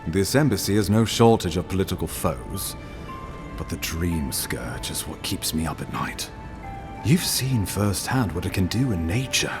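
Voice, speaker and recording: An actor playing a grave, courtly imperial ambassador in a video game, speaking formally and deliberately. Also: male voice, deep voice, animated, music in the background. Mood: grave, earnest. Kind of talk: scripted video game dialogue.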